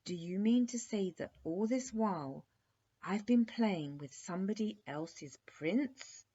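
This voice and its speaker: Woman doing a little girl princess from a bedtime story, high, emphatic, childishly dignified, lo-fi. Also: animated, unhurried, warm tone, husky. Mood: indignant. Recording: dull lo-fi recording.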